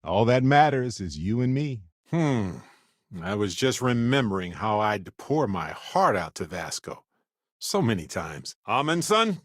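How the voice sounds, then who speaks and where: An actor playing an earnest, wry, talkative space explorer companion in a video game, speaking in a conversational, reflective way. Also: male voice, fairly low pitch, very animated, measured pace, no echo, clean studio sound. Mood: tender.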